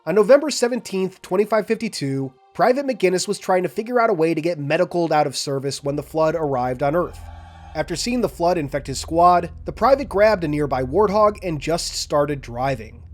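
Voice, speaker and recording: A man hosting a lore video, narrating and analyzing in a measured, explanatory way. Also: a little high, expressive, soft background music.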